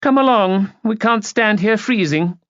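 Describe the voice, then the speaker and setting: A man's voice: high-pitched, unhurried, no room sound, lo-fi sound, pitched above the performer's own voice. A man voicing a haughty, imperious upper-class woman in an audiobook, clipped, condescending and cutting. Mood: impatient, disdainful. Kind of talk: audiobook character voice.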